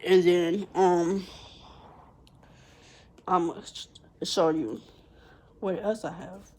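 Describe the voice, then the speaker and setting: A young woman vlogger who stutters, talking haltingly to her viewers with many pauses and restarts, calling them y'all. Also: lowish voice, steady tone, dark tone. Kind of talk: personal vlog, talking to viewers.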